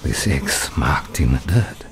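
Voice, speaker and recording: An actor playing a bleak, weary wanderer in a poetic radio play, speaking in halting fragments that sink toward a whisper over music. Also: male voice, a bass voice, expressive, exaggerated voice.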